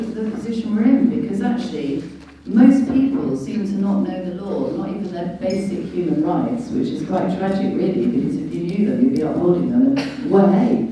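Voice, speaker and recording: A woman's voice: lowish voice, warm tone, slight background noise. A British woman giving an informal public talk as a guest speaker, explaining in an emphatic, didactic way with rhetorical questions. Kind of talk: informal public lecture on law and rights. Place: large echoey indoor venue at a public meeting. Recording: dull, very echoey hall, distant mic.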